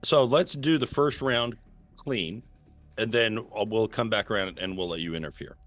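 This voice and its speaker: A man running a tabletop game as game master, narrating with relaxed chuckles. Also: on the low side, normal speed, faint music behind.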